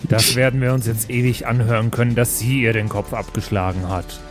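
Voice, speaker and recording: A man's voice: medium pitch, fairly even intonation, fairly quick, music in the background. A man playing a tabletop role-playing game, chatting casually as he improvises what he does.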